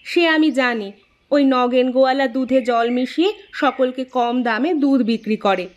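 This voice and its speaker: Woman playing a high-pitched village wife. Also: moderate pace, muffled recording, close to an everyday voice. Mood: caring. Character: caring, worrying village milkman's wife. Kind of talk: scripted cartoon dialogue.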